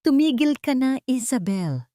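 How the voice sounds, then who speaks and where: A woman's voice: high-pitched, sing-song, unhurried, mellow, dry recording, studio quality, pitched above the performer's own voice. A woman storyteller voicing a defensive, wounded man who speaks in curt, emphatic lines in an audio love story. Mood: defensive anger. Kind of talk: dramatic Tagalog audio story dialogue.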